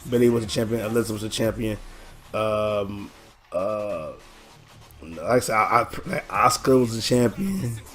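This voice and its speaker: A hoarse man hosting his own channel, rambling through a casual reaction video with many halting restarts. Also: on the low side, animated, a bit bright, faint music behind. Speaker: channel host.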